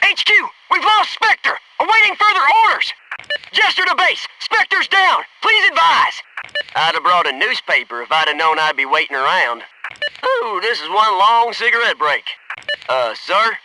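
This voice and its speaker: A man's voice: very high-pitched, sharp tone, slight background noise, heavily acted, poor audio. A male actor playing a loyal, wisecracking special forces soldier in a military shooter game, speaking in clipped military phrases. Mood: urgent, worried, then dry, wry.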